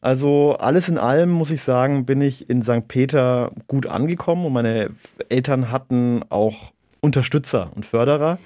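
A man in his thirties, a native Arabic speaker from Germany, answering interview questions in a measured, reflective way with an occasional chuckle. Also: mid-range voice, mellow, dry recording, clean studio sound. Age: 30s. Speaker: interview guest, local politician.

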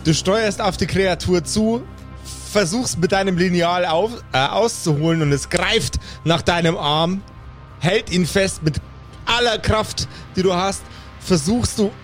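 Higher-voiced man as game master. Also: a little slow, fairly bright tone, faint music behind. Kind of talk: improvised game narration and rules talk.

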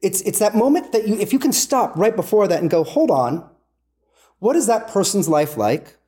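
A man's voice: high voice, very animated, moderate pace, dark tone. A man in casual, heartfelt conversation, earnest and emphatic, often repeating his phrases to make a point.

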